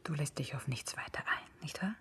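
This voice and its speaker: An actress playing a proud, warm young woman in a radio drama, speaking frankly and with spirit. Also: deep voice, animated, a little fast, whispered. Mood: soft, concerned. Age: young adult. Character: proud, warm young woman Alda, old man's daughter.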